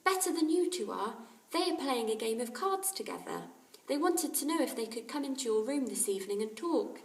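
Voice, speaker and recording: A woman's voice: fairly high pitch, lively intonation, fairly quick, voice pushed up. A woman voicing a caring, cheerful mother who fusses kindly, in a storybook reading. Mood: reassuring. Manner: solicitous, bright exclamations. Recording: roomy, distant microphone.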